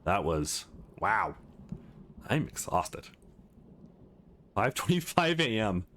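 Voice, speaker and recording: A man's voice: on the low side, sing-song, takes it slowly, a bit bright, heavily acted. A man voicing a caring, earnest young male student hero in a visual novel, with a reassuring manner. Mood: exhausted.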